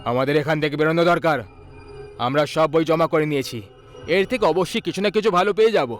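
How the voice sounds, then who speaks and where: A man playing a bold, brisk young man among friends in a horror audio story. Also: fairly high pitch, soft background music, lightly acted. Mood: urgent.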